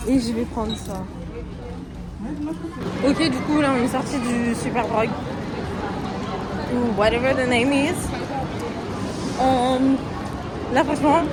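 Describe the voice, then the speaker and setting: Chatty young woman vlogging on busy streets. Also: high-pitched. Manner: chatty, slangy, talks straight to viewers. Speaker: vlogger host. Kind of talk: casual travel vlog narration. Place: busy city streets and shops, outdoors. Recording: loud street crowd and music behind.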